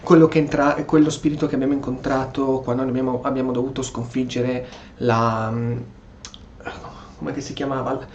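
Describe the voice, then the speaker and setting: A man's voice: mid-range voice, level tone, brisk, darkish tone, dull audio, slight background noise. A man from Italy commenting casually on a video game, reacting and thinking aloud as a streamer. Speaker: gaming streamer.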